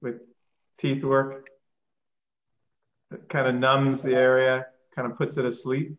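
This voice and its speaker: A man's voice: mid-range voice, monotone, slow, lo-fi sound. A man in a casual group chat over a video call, making dry, joking asides.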